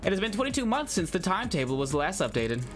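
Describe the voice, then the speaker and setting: A man's voice: high voice, sing-song, fast talker, a bit bright, faint music behind, voice pushed up. A man voicing a polite, humorless female AI receptionist in formal customer-service phrases, reading from a visual novel game.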